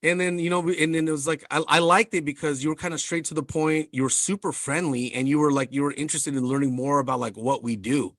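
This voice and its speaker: Man, podcast co-host, energetic, casual filler-heavy talk, slightly higher voice. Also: fast, hardly any pauses, no room sound, studio quality. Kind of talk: casual interview chat.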